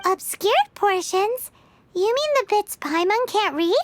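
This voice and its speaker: A woman voicing a tiny, excitable childlike fairy girl in a video game, squeaky and chirpy. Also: a little slow. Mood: puzzled.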